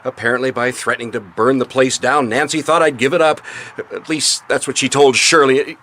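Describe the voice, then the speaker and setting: An actor playing an evasive, indignant businessman in a radio mystery, halting and defensive under questioning. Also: medium pitch, fairly even intonation, fairly bright tone, close to an everyday voice. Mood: troubled. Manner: halting, defensive, blustering when challenged. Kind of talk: radio mystery drama acting. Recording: noisy radio drama recording.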